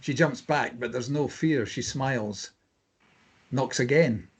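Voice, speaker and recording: A man's voice: medium pitch, takes it slowly, noisy background. A man reading scripted stage directions as narrator at a table read.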